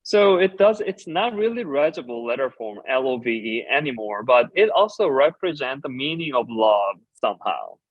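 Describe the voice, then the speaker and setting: A man whose first language is Korean talks through his work on stage, pausing often with fillers as he explains. His voice sits at an ordinary pitch for a man. The recording is dry and a little dull, with a lo-fi edge. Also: sing-song, no echo. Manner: halting, with frequent filler pauses while explaining. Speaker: designer and ceramicist giving a talk. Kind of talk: conference presentation about slides.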